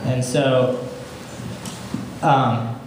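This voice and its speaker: A young man answers debate questions in a halting, casual way and leans on many fillers. He sounds far from the mic in a very echoey room with clear background noise. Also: mid-range voice, steady tone. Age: young adult. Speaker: student government candidate.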